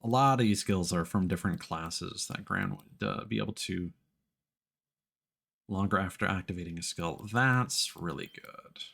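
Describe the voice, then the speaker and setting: A man giving casual live gameplay commentary as a streamer, often thinking out loud in a halting way. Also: deep voice, vocal fry. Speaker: game streamer.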